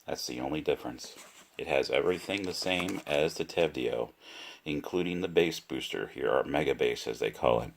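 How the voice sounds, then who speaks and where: A man giving a casual, unscripted product demonstration, speaking deliberately and repeating himself for emphasis. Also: extremely low pitch. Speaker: product reviewer.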